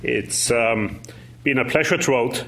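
A man in his fifties from Norway, opening a lecture in a measured, courteous, lightly humorous way. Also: mid-range voice, vocal fry, fairly bright tone. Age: 50s. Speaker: guest lecturer.

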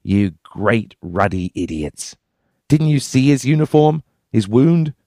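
A man voicing a sharp-tongued Cockney prospector with a Cockney accent, scolding his companions bluntly. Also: mid-range voice, lively intonation, slow pace, no echo, studio quality, voice pushed up.

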